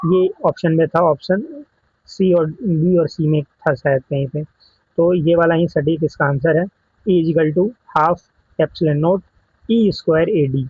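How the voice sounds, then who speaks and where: Husky Indian male exam tutor, higher-pitched. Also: no echo, muffled recording. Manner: explanatory, mixes in English technical terms. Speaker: exam coaching teacher. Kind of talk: exam question walkthrough lesson.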